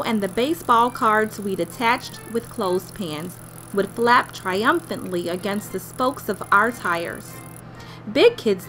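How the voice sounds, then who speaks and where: A woman's voice: fairly high pitch, pushed and bright. A woman playing a grown-up narrator who fondly and wryly recalls childhood in a scripted radio play.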